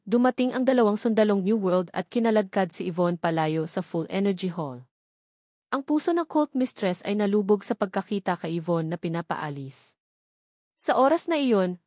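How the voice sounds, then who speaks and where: A woman narrates a scripted story in a medium-pitched voice. The recording is very dry, with no room around the words. She reads as an audiobook narrator. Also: mellow, no room sound.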